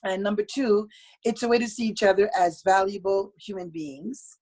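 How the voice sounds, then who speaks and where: A woman from Haiti, a guest on a video call, sharing prepared remarks in a measured, polite way. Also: mid-range voice, darkish tone, low-quality recording. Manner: measured, polite, speaks from notes. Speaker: meeting guest.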